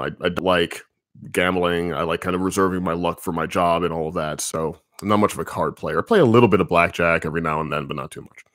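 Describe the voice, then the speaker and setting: Male writer, deep low voice, relaxed and rambly, fielding fan questions, dry sound. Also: no room sound. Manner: relaxed, rambling, thinking aloud with many fillers. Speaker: comics writer answering viewer questions. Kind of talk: casual livestream Q&A.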